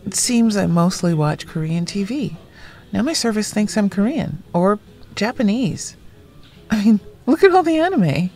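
An actress playing a blunt, wry, loyal friend in a naturalistic audio drama, speaking casually. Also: female voice, medium pitch, expressive, moderate pace, a little mellow, natural voice. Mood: wry, teasing. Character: blunt, foul-mouthed, loyal woman friend, homebody.

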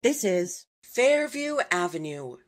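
A woman reading scripted train announcements in a measured, formal, segmented way. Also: lowish voice, very animated. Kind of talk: scripted transit announcement, spliced clips.

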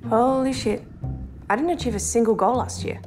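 A woman's voice: a little high, normal speed, over music. A woman, weary and sardonic in a comedy series. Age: late 20s. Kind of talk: comedy web series acting. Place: living room, indoors.

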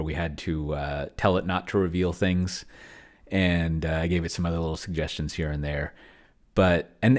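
Middle-aged male streamer, low voice, casual rambling commentary over a solo role-playing session. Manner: rambling, thinking aloud. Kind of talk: casual livestream commentary while typing. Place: small cluttered home room, indoors.

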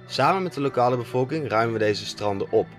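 Young Dutch male skipper, calm scripted documentary voice-over, mid pitch, soft music underneath. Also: fast. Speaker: skipper narrating a sailing documentary.

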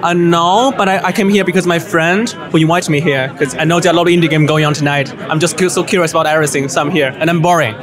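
A man's voice: a little high, steady tone, quick pace, hardly any pauses, crowd noise. A man visiting the event, chatting in a self-mocking way into a handheld mic. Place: crowded indoor event room.

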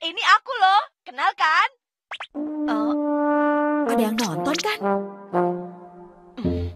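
A woman voicing a clumsy, excitable cartoon schoolboy who is boastful, whiny and easily flustered. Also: sits high, very slow, pauses often, heavily acted. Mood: showy, boastful. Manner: whiny, exclamatory. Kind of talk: animated cartoon dub acting. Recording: music behind the voice.

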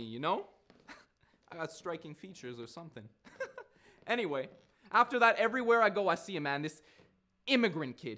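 Casting: male actor as streetwise young man in his twenties, brash but wounded, high-pitched and animated, slangy stage monologue. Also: exaggerated voice. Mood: wry, animated. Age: 20s. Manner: slangy, swearing, insistent, with repeated phrases. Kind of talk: theatrical audition monologue.

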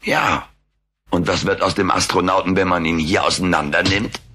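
Furry alien, low husky voice, bright punchy tone, sardonic, wisecracking, audio drama. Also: male voice, fairly even intonation, normal speed, slight background noise. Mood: teasing. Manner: sardonic, flippant, mock-offended. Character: cheeky, wisecracking furry alien houseguest, male. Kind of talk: German audio drama for children.

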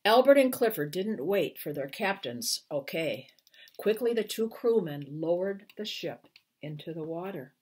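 Older woman reading a storybook, lively and unhurried. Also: on the low side, slightly rough. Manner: careful, deliberate storytelling with emphatic phrasing. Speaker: children's book author reading aloud. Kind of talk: expressive storybook read-aloud for children. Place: small furnished room at home, indoors.

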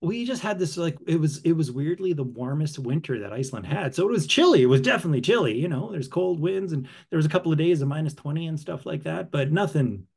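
A man in his thirties, an actor from northern Canada, chatting as an interview guest over a video call from a room at home, lively, repeating words and laughing easily. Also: fairly high pitch, fast talker, vocal fry, few pauses, slightly rough. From northern Saskatchewan, Canada. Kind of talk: casual interview chat. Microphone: headset with desk mic, video call.

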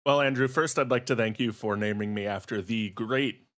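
A man's voice: lowish voice, moderate pace, fairly bright tone. A man speaking as a podcast panelist, making his case in a casual, conversational debate style.

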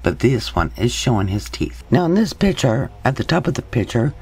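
A man with a husky, slightly breathy voice talks through one photo after another. He speaks slowly and hesitantly, stopping and restarting often as he points out what he sees. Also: mid-range voice, very animated, a little mellow. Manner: slow, halting, hesitant with many pauses. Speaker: paranormal investigator. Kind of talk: narrating over still photos.

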